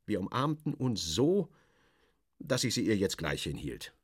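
A man narrating literary prose in the first person, wry, clipped and expressive. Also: lowish voice, measured pace, husky, dry recording, clean studio sound.

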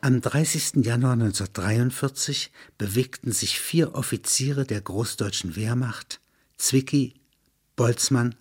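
A man's voice: medium pitch, slightly rough. A man reading literary narration in an explanatory manner, breaking into spoken asides. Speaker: narrator and reader.

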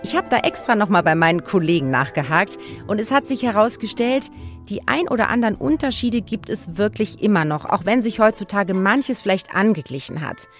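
A woman's voice: mid-range voice, expressive, fairly quick, soft background music. A woman presenter giving a scripted explanatory narration.

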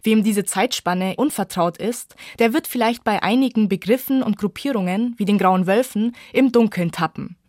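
A woman's voice: mid-range voice, normal speed, few pauses, a little mellow, dry recording, studio quality. A woman reporter reading a scripted radio book review feature.

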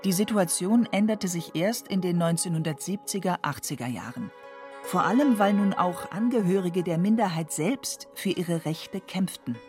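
A woman narrates a documentary in a calm, measured read, her voice sitting fairly low. A soft music bed plays faintly beneath her. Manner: measured, even reading.

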